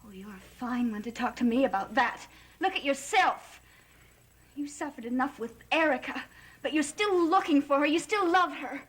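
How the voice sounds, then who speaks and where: A woman's voice: high-pitched, expressive, normal speed, fairly bright tone. An actress playing a lovestruck, defiant young woman in a gothic soap opera, intense and emotional.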